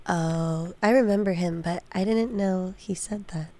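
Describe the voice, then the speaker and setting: Woman, podcast guest, unhurried slow pace, casual, playful, short comedic interjections. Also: on the low side, dark tone.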